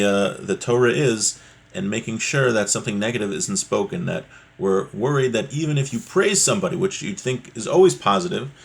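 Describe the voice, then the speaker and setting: A man's voice: on the low side, vocal fry, husky. A man giving an informal religious lesson as a teacher, explaining a text conversationally and checking in with rhetorical questions.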